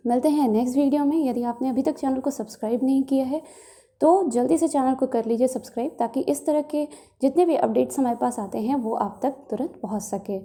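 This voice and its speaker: A woman's voice: sits high, mellow. A woman hosting an update video, explaining things in a reassuring way and mixing English words into her speech. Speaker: video channel host.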